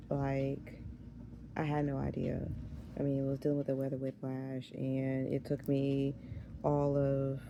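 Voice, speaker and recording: A hoarse woman in her thirties talks casually to camera, her voice gravelly and dark with a raspy edge. She wanders through her thoughts, trails off and restarts. A faint hiss sits under the recording. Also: rumbling low. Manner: rambling, loses her train of thought. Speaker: vlogger. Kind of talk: casual chat.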